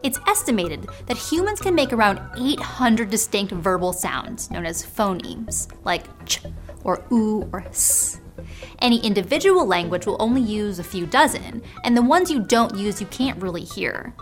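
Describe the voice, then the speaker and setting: A woman's voice: mid-range voice, sing-song, normal speed, soft background music. A woman hosting a science show, narrating in a scripted, explanatory way. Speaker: host.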